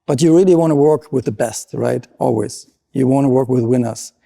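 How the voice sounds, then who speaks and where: A man's voice: medium pitch, brisk, vocal fry, dark tone. A middle-aged man with a German accent, a marine scientist explaining his work in an interview with earnest enthusiasm.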